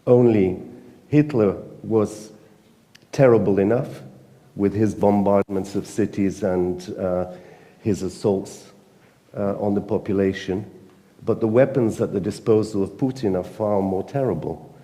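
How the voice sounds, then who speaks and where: A man with a British accent, speaking earnestly and emphatically at a conference panel, pausing often with fillers. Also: on the low side, warm tone, big-room sound. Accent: British English. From Britain. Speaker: panel speaker, newspaper editor. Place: conference hall.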